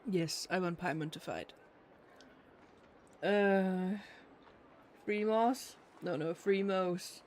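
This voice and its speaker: A man's voice: sits high, drawn-out words, long pauses, a little mellow, a little hiss or hum. A man chatting casually with viewers as he streams a game, rambling and muttering distracted asides. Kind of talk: casual live gameplay chat with viewers. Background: faint game music.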